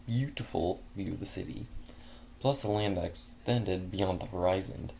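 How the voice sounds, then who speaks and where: Man, casual story reader, gravelly dark voice, trips over words, faint hiss. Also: fairly low pitch. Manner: stumbles and self-corrects, chatty asides. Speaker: fanfic reader. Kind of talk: casual first-person story reading.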